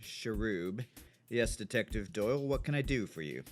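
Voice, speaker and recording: A man's voice: lowish voice, animated, moderate pace, soft background music, close to an everyday voice. A man playing a callous, irritable business magnate in an audio drama, curt and dismissive. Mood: cool, evasive.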